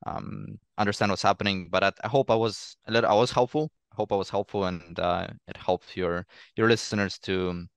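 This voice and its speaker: Deep gravelly Ukrainian-accented young man. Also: fairly even intonation, brisk, no room sound. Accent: Eastern Ukrainian Russian-speaker. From Eastern Ukraine. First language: Russian. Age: young adult. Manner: hesitant, repeats words, thoughtful. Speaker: guest. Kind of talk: interview conversation.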